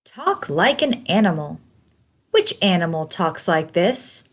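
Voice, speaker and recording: A woman reads a picture book aloud for young children as a teacher would. Her voice sits slightly low but moves up and down with plenty of melody. She goes very slowly and deliberately, keeping things simple and putting questions to the listener. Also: big pitch swings.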